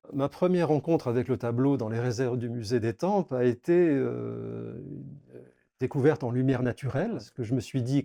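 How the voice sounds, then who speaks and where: A man working as a painting restorer explains the craft in a measured, formal, precise way, as in a documentary interview. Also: medium pitch, creaky, warm tone.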